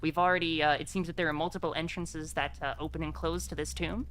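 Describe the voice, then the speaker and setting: A woman playing a measured, learned scholar exploring an ancient tomb in a tabletop game. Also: sits low, little pitch movement, a bit bright, clean tone. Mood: measured, cautionary.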